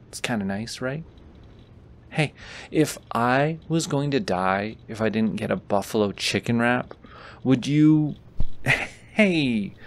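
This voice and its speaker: A man with a mid-pitched voice plays a boyfriend reading a bedtime story. He takes his time, slipping between measured storytelling and goofy, teasing asides. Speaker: boyfriend character reading aloud.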